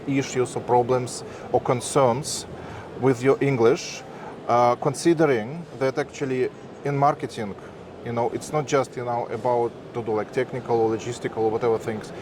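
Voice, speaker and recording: A man's voice: mid-range voice, normal speed. A man with a Russian accent, a Russian speaker, hosting a casual interview and asking long, rambling questions. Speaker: interviewer, host. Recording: street noise behind.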